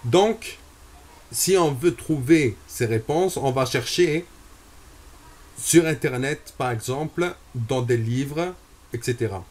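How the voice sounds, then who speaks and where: Slow-talking young man giving a testimony. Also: mid-range voice, a little mellow. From Algeria. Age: 20s. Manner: slow and deliberate, with frequent hesitations. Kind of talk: personal religious testimony.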